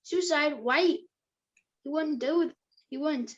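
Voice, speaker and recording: High, muffled, anxious teenage boy read by a woman. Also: slow pace. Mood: shocked. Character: Max, anxious, caring teenage boy helping a friend. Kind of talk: table read of a drama script.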